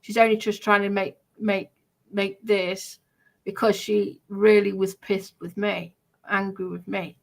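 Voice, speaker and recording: A woman casually explaining herself in a defensive, halting way. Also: mid-range voice, flat delivery, creaky.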